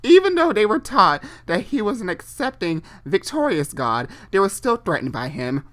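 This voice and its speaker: A man's voice: sits high, very animated, normal speed, a bit bright. Casual, irreverent man reading aloud. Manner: slangy, irreverent, giggly. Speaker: online video host and fan fiction reader. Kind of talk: casual dramatic reading with off-the-cuff commentary.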